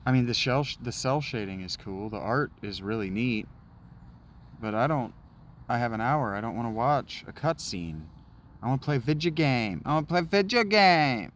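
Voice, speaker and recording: A man's voice: medium pitch, expressive, normal speed, a bit bright, a little hiss or hum. A man doing casual let's play commentary, rambling and goofy, humming nonsense and whining that he wants to play.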